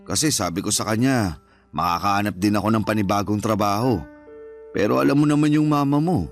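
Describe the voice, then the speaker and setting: A man's voice: fairly low pitch, lightly acted. An actor playing a devoted, reassuring middle-aged father in a Filipino radio drama, speaking affectionately and conversationally with a Filipino accent.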